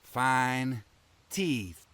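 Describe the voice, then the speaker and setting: A man's voice: medium pitch, lively intonation, drawn-out words, a bit bright, clear, resonant tone, no echo. A man playing a tired country farmer and devoted father with a rural American accent and a folksy drawl, in an audio drama. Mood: grumbling, fond.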